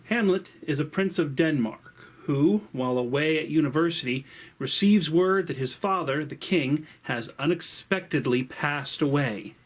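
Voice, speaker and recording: Theatrical, higher-voiced man telling stories. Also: a little slow. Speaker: teacher and host of a story series. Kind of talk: scripted literary lesson and storytelling.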